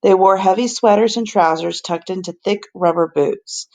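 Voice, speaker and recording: A woman reading a children's story aloud like a teacher, with measured, deliberate, expressive narration. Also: mid-range voice.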